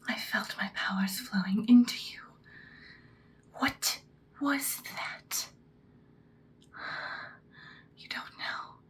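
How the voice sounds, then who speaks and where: An ancient female vampire, performed by a woman speaks in a close, breathy ASMR roleplay whisper. Her delivery is very slow and deliberate, with dramatic weight on her words. She comes across as seductive and imperious, menacing yet protective. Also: medium pitch. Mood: alarmed, astonished.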